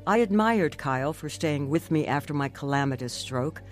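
An actress playing an earnest older woman narrating her life story in a measured radio drama style. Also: deep voice, animated, faint music behind. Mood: earnest, reflective.

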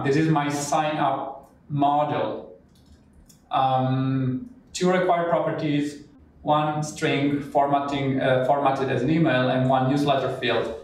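A man's voice: medium pitch, heavy reverb, recorded from a distance, slight background noise, poor audio. A man from Italy with an Italian accent, walking through a live coding demo and chatting as he thinks aloud while typing. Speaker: cloud developer presenter.

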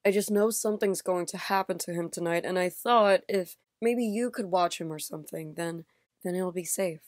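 Confident, mysterious female client, played by an actress for audio drama: lowish pitch, poised, alluring, dry studio recording. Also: moderate pace, no echo, lightly acted. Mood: concerned.

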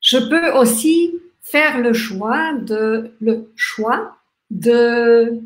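Austrian woman in her fifties, German native, hosting a slow, gentle webcam talk, somewhat high voice, echoey room. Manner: gentle, reflective, halting with many restarts and fillers; talks with lively hand gestures. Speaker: workshop host. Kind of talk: live online talk on gratitude. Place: small room indoors.

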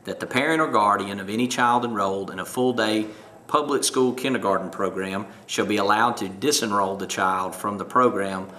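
A man's voice: on the low side, moderate pace, pushed and bright, roomy sound. A man in his forties, a school superintendent, reading a public service message from his desk with many ums and uhs. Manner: hesitant, many ums while reading legal text. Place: office, seated at desk.

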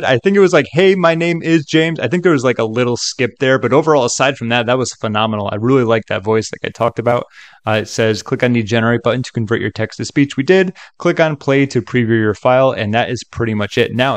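A man talks through a software tutorial in a casual, chatty way. His voice sits at a middling pitch, and the sound is close and dry. He keeps an upbeat tone as he explains each step. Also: fast, no room sound. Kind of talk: casual screen-recording walkthrough.

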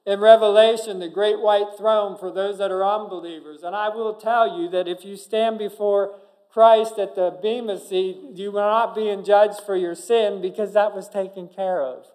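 A middle-aged man preaching from a church pulpit in a fairly high voice. He delivers the sermon earnestly, with sudden bursts of loud emphasis and frequent restarts. The sound comes through a pulpit microphone in a church sanctuary. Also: steady tone, normal speed, rough recording. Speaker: pastor. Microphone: pulpit microphone, far camera.

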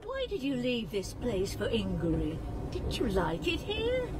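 Inquisitive young heroine, high n swoopy, radio drama with noisy backdrop. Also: female voice, measured pace. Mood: curious. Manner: eager, questioning, expressive. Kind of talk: British radio drama acting.

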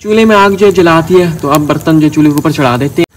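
A man's voice: fairly high pitch, fast. A man with a Pakistani accent, chattily explaining a recipe as a casual village cooking vlogger. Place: outdoors in village fields and a brick-walled courtyard. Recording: clear outdoor ambient noise.

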